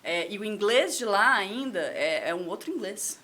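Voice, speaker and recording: A woman's voice: mid-range voice, expressive, moderate pace, pushed and bright. A Brazilian woman in her thirties, a guest on a podcast, chatting casually and repeating words as she thinks. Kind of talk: casual chat.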